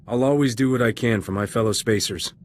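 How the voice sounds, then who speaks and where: An actor playing a cocky, wisecracking space smuggler in a video game, with a glib, dry delivery. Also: male voice, mid-range voice, fairly quick, natural voice.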